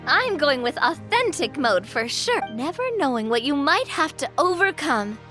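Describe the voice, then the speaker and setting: A woman's voice: sits high, big pitch swings, moderate pace, over music. A woman playing a bubbly, confident, adventurous young lady in a video game, with an enthusiastic, teasing manner. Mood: excited.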